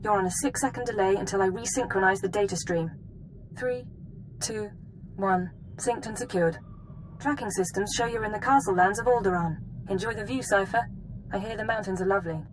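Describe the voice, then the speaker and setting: A woman's voice: mid-range voice, brisk, background noise, lightly acted. A woman voicing a composed intelligence analyst in a video game, giving briefings in a precise, formal, businesslike way.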